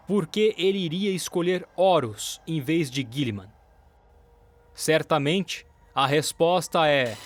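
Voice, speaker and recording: A man delivering solemn, deliberate scripted narration for a dramatic production. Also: on the high side. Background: music.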